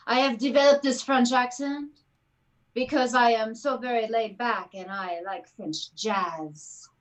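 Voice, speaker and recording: A woman playing a laid-back bug-eating creature in an improv monologue on a video call, deadpan and mock-dramatic. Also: on the high side, measured pace, natural voice, low-quality recording.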